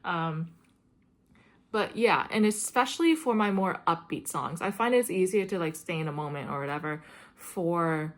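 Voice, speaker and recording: A woman's voice: lowish voice, moderate pace. A young adult woman, a classical singer, talking casually to camera in a meandering, chatty way, full of "um" and "like". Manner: chatty, meandering, frequent "um" and "like", light laughs. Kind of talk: rambling casual chat to camera.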